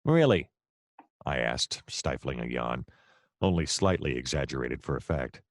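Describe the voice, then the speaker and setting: An actor with an American accent playing a hapless, wry male private detective in a noir audio play, deadpan and sometimes flustered. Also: rumbling low, a little slow, dry recording, studio quality, exaggerated voice. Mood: exasperated, sardonic. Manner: wry, deadpan narration, hesitant and flustered in dialogue.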